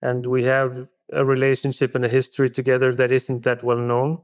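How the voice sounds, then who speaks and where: A middle-aged man whose first language is Icelandic, giving thoughtful, halting interview answers full of restarts. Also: medium pitch, monotone, no room sound, studio quality. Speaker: film director guest.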